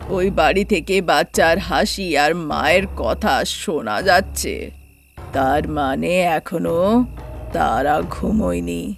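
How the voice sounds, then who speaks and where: A woman voicing a menacing village thug, a man muttering slowly as he schemes, in a cartoon story. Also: fairly low pitch, gravelly, faint music behind, pitched below the performer's own voice. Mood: menacing, scheming.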